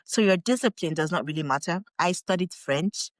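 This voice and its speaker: A young Nigerian woman, a guest on an interview podcast, telling her story in a relaxed, chatty way and laughing often. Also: fairly low pitch, normal speed, dry recording. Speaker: guest, English teacher in Japan.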